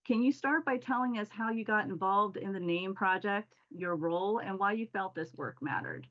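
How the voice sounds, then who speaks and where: A woman's voice: mid-range voice, lively intonation, normal speed, highs cut off. A woman hosting a panel discussion, reading formal introductions and questions. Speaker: panel moderator.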